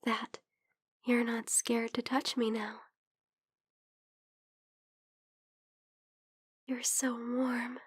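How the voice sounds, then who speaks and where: A tender young vampire girl played by a woman speaks in a high, gentle voice, very slowly and hesitantly. She sounds a bit under the weather, often dropping toward a whisper in a close, dry ASMR roleplay. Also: no room sound. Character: caring young female vampire best friend, sick, tender. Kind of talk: ASMR roleplay, intimate, soft-spoken.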